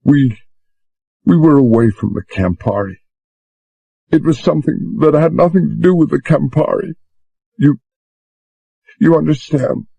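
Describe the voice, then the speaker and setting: A man's voice: on the high side, a little slow, creaky, long pauses, dark tone, breathy edge, no room sound, lo-fi sound, voice pushed up, full character voice. A man voicing a frightened young woman who pleads through tears, breathless and near sobbing. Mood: pleading.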